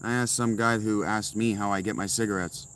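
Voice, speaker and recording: A man's voice: on the low side, fairly even intonation, a little fast, fairly bright tone, smooth. A man streaming live from inside a tent, answering chat messages with blunt, sarcastic replies. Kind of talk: casual livestream chat.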